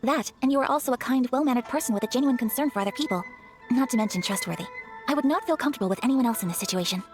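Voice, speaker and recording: An actress plays a young noblewoman in an anime game, gentle, formal and earnest. Her voice is on the high side and her polite phrases move quickly, with music clearly behind her. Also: animated, close to an everyday voice. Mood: earnest, confiding. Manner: formal, polite, carefully articulated. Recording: background music under the voice.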